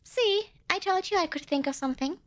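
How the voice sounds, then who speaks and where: A woman's voice: very high voice, brisk, fairly bright tone. A woman playing a cute, eager and nervous little female demon in an ASMR roleplay, bubbly and over-polite with hesitant stammers. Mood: eager salesmanship. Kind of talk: ASMR roleplay acting addressed to listener.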